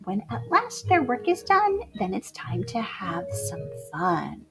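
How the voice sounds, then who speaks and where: A woman reading rhyming picture book poems aloud to children in a theatrical way, moving between hushed whispers and loud exclamations. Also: medium pitch, huge pitch swings, takes it slowly, creaky, soft background music. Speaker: children's storybook reader.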